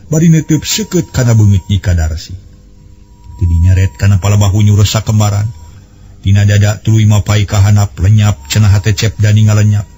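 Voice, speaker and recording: A man's voice: lowish voice, mellow. A man with a West Javanese accent telling a story in dramatic radio style, recorded on old cassette. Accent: Sundanese-language West Javanese.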